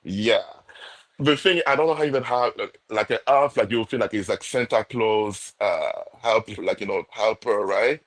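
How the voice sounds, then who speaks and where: Non-native English speaker, casual co-host, low voice, laughs a lot. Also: male voice, moderate pace, low-quality recording. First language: not English. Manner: laughs often, searches for words, lots of "like". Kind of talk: casual live chat.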